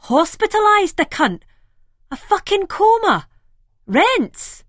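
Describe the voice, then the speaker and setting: A woman's voice: medium pitch, unhurried, slightly rough, no room sound, studio quality. A woman reading an audiobook, voicing a panicking, swearing Scottish man with a Scottish accent. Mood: frantic. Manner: shouting, swearing, frantic.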